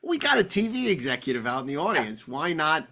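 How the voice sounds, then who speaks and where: A man hosting a podcast in casual discussion, telling rambling anecdotes with halting, repeated words. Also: on the high side, big pitch swings, bright, forceful tone. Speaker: podcast host. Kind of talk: casual panel discussion of classic TV.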